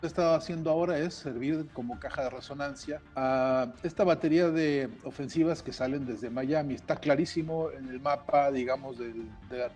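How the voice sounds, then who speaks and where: Measured man giving academic analysis. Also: mid-range voice, mellow. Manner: measured, fillers like "este". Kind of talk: academic commentary.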